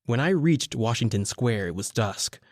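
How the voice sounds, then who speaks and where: A man playing a haunted, brooding newspaper reporter who narrates his tale in old-time radio horror style, with dramatic pauses. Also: mid-range voice, lively intonation, no echo, clean studio sound, lightly acted.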